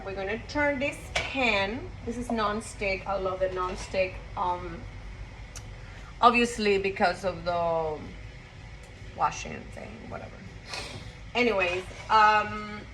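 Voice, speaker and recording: A woman from Costa Rica talks her way through a home-cooked meal in her kitchen, rambling from one thought to the next and tossing in blunt asides. Her voice sits at a middle pitch, with faint music playing behind her. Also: pushed and bright, poor audio. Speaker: home cook vlogger. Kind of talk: casual cooking vlog.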